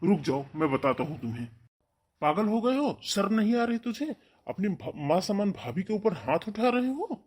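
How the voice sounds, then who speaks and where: A man voicing an outraged elder brother, loud and scolding, in a melodramatic cartoon story. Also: sits high, sing-song, moderate pace, slightly rough, full character voice. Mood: furious.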